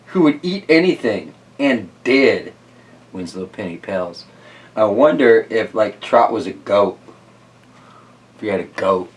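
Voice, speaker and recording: A man hosting a children's story reading, mixing casual, easygoing chat and musing asides with animated storybook narration. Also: sits low, far from the mic.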